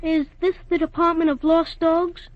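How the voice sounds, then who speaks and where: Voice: very high voice, flat delivery, normal speed, loud music behind the voice. An actor playing a polite, earnest young boy looking for a lost dog in a 1940s radio drama. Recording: dull vintage 1940s radio recording.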